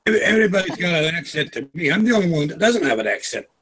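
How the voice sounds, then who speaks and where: A man's voice: high-pitched, very animated, fast talker, a bit bright, a little hiss or hum. A man joking and teasing as a guest in a casual group chat. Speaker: panel guest.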